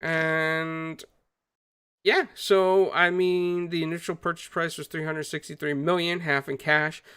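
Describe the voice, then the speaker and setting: A man's voice: fairly high pitch, flat delivery, measured pace, pushed and bright, smooth, dry recording, clean studio sound. A man hosting a casual gaming podcast, upbeat and greeting viewers by name. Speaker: podcast host.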